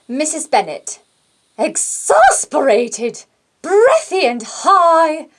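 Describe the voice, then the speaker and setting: A woman voicing an exasperated, fluttery British mother for an audiobook, in a breathy voice. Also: high-pitched, wildly swooping pitch, extremely slow, fairly bright tone, voice pushed up, exaggerated voice.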